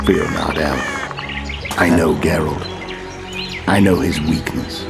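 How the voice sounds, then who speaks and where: A hulking, menacing game villain, with an extremely low voice and gravelly edge. He is utterly sure of himself and measures out each word slowly over loud music. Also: steady tone, heavily acted. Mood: calm menace. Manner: slow, measured, ominous. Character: menacing, supremely confident hulking kingslayer assassin. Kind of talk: video game cutscene acting.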